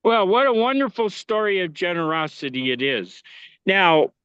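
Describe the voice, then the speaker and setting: High, smooth-voiced American man. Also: big pitch swings, moderate pace, a bit bright, no echo, muffled recording. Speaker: podcast co-host. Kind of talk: conversational anecdote telling.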